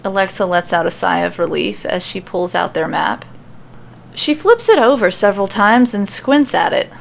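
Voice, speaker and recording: Female podcast host and story reader, creaky mid-pitched voice, informal, self-deprecating, faint noise on the recording. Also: animated, normal speed. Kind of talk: casual podcast intro and fan fiction narration.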